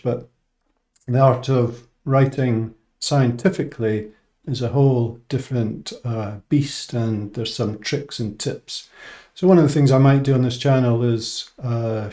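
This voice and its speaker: Mellow-voiced Scottish man explaining informally. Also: medium pitch. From Scotland. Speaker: English teacher and medical research scientist. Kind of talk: informal explanatory talk to viewers.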